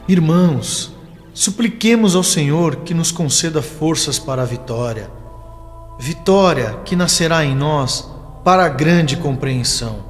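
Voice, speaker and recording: A man playing a compassionate, fatherly spirit mentor in an audio novel, delivering a solemn, measured, pleading exhortation. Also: a little high, lively intonation. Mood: solemn, imploring. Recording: long reverberant hall with music behind. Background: music.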